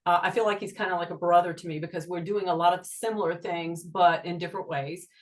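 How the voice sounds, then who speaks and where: Low-voiced woman hosting an interview. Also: fairly even intonation, fast. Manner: chatty, with fillers and backchannel murmurs. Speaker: interview host.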